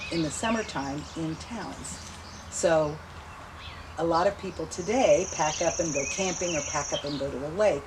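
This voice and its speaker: A woman in her seventies warmly recounting family memories in a relaxed, chatty interview. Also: sits low. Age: 70s. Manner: chatty, reminiscing, laughs easily. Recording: outdoor noise with insects and birds. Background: insects and birds.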